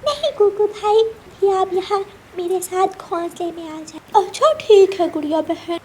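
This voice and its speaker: A woman's voice: extremely high pitch, measured pace, a little mellow, a little hiss or hum, voice pushed up. A woman voicing innocent young bird siblings with a childlike manner in a cartoon.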